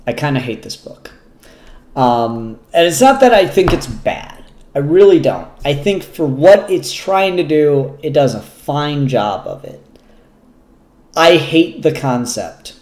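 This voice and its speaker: Echoey, slightly high-voiced man reviewing comics. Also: lively intonation, darkish tone. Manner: halting, pausing mid-sentence, swears casually. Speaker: comic book reviewer and show host. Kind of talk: casual unscripted spoken review. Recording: roomy, echoey room sound.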